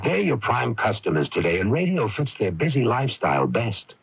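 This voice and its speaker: A man's voice: mid-range voice, big pitch swings, fairly quick, vocal fry, highs cut off. A man announcing a punchy scripted radio promotion. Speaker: commercial announcer. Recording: noisy 1980s radio broadcast tape.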